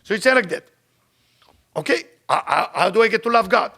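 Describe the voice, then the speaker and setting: A man's voice: sits high, expressive, vocal fry, pushed and bright. A man with an Israeli accent giving an informal spiritual lesson, telling stories and repeating phrases for emphasis, racing through passages when he reads them aloud. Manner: rapid recitation when reading Hebrew text. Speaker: Kabbalah teacher. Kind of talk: informal spoken teaching with storytelling.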